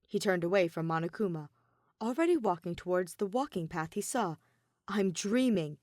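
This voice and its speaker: A woman's voice: fairly low pitch, animated, fairly quick, a little mellow, dry recording, clean studio sound. A woman reading scripted fan fiction as its narrator.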